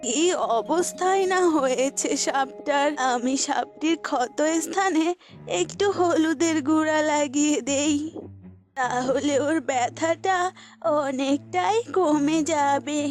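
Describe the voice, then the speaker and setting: Slow, high-voiced kindly old granny. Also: female voice, a little mellow. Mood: tender, caring. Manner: tender, unhurried. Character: kind, doting old village woman who keeps animals. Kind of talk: cartoon voice acting in a children's fable. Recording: music bed behind the voice.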